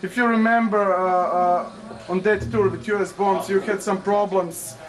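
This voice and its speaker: Man, from Croatia, interviewer, halting, many restarts. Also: high voice, steady tone, crowd noise, rough recording. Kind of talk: casual interview.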